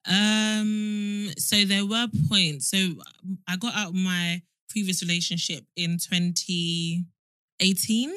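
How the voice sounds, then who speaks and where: A woman podcast co-host speaks in a bright, forward voice pitched a little low. Her talk is candid and conversational, with emphatic repeats. It is recorded dry and close. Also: steady tone, slow pace, smooth, no echo. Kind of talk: candid casual chat.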